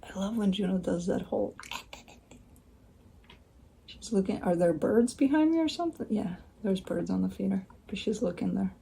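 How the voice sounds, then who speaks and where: A woman's voice: mid-range voice, expressive, normal speed, creaky, pauses often, mellow, slight background noise. A woman giving a casual tarot reading at home, talking in a chatty, rambling way with blunt asides. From Romania. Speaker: tarot reader. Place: home by a window with a bird feeder.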